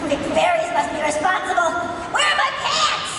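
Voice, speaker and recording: Casting: bratty cartoon teen girl, piercingly high, lively swoops, whiny and mean. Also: moderate pace. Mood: bratty, startled. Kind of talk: live comedic cartoon-voice table read. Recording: echoey convention hall, distant, noisy crowd.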